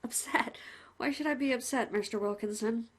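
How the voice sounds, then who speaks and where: A woman's voice: a little high, moderate pace, husky, voice pushed up. A woman voicing a dry young con man. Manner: dry, careful, glum. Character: polite wary young male con man prisoner.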